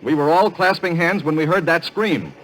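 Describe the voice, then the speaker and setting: A man's voice: a little high, normal speed, a bit bright, close to an everyday voice, rough recording. An actor playing an earnest, puzzled man in a radio murder mystery, asking blunt questions. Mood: puzzled, insistent. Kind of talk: old-time radio mystery acting.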